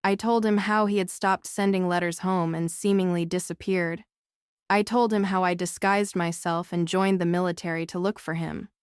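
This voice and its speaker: A woman's voice: on the low side, steady tone, moderate pace, clean tone, no echo, clean studio sound. A woman narrating a novel as a scripted audiobook.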